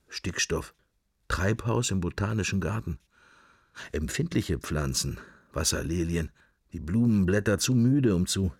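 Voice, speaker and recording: An actor playing a musing, idly drifting man in a radio drama, thinking to himself in husky, fragmentary phrases. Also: low voice, a little mellow, dry recording, clean studio sound, exaggerated voice. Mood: dreamy, languid. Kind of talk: interior monologue in a radio drama.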